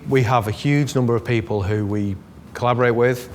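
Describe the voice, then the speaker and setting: A man interviewing a colleague, asking questions in a relaxed way and pausing to search for words. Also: medium pitch, slight background noise. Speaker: interviewer, executive director. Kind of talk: interview questions, casual talk.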